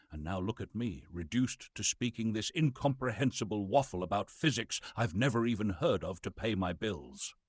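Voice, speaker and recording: A pompous old British stage actor played by a man reads with grand theatrical weight. His husky voice rises and falls dramatically, close and dry, with the bitterness of a classical thespian fallen on hard times. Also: mid-range voice, a bit bright, no echo. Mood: bitter, indignant. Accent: British English. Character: pompous, bitter old Shakespearean actor reduced to reading science.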